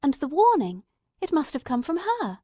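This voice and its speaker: A woman's voice: high voice, wildly swooping pitch, moderate pace, mellow, no room sound, studio quality, pitched above the performer's own voice. A woman voicing an earnest male companion who questions a detective with urgent disbelief, in a dramatised reading. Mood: urgent, questioning. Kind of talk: audiobook-style dramatised reading.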